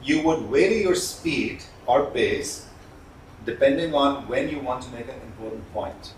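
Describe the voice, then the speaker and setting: Roomy, distant-miked male instructor, deliberate delivery. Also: mid-range voice. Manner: deliberate, emphatic, pausing between points. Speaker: communication trainer. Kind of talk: instructional lecture to viewers. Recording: roomy, distant mic, faint background noise.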